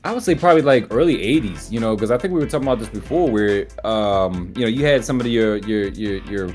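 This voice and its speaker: A man who hosts a music show and sings R&B, with a smooth voice and a relaxed, storytelling way of talking. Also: lowish voice, fast talker. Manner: relaxed storytelling, often says "you know what I mean". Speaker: R&B singer and host. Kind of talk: casual chat.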